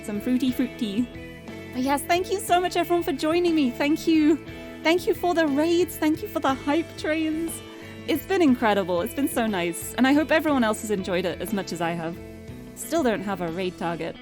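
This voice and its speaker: A young woman streamer chatting casually and cheerfully, her voice getting a little hoarse and tired. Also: high-pitched, animated, moderate pace. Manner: bubbly, chatty, restarts words often. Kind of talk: casual live-stream chat. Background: background game music.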